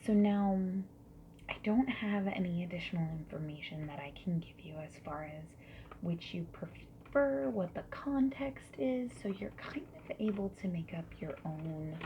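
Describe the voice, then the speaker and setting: A woman plays a friendly survey interviewer in a soft-spoken ASMR style. She drifts between whispering and quiet speech, taking her time over each question. The recording is muffled and lo-fi, with faint noise behind her. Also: on the low side, animated. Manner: friendly, gentle, unhurried questioning with soft acknowledgements. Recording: lo-fi muffled sound with background noise.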